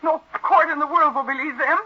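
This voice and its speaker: A woman acting a vain, two-faced, murderous actress in an old radio thriller. Her very high voice is theatrical and haughty, on a muffled vintage recording. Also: fairly even intonation, brisk, soft background music, natural voice. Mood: indignant, desperate. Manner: theatrical, grandly self-assured. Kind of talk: 1940s radio drama acting.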